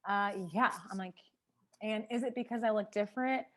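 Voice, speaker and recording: A woman's voice: medium pitch, steady tone, moderate pace. A woman speaking in a documentary interview, emphatic and given to rhetorical questions. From Georgia, USA.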